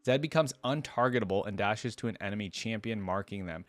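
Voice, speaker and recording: A man's voice: fairly low pitch, a little fast, no echo. A man hosting a casual reaction video, reading aloud and commenting informally as he thinks things through.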